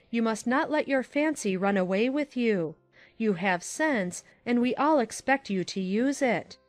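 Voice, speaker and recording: A woman playing a sensible, kindly aunt in an audiobook drama, giving grave advice in a measured, admonishing manner. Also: mid-range voice, dry recording, lightly acted.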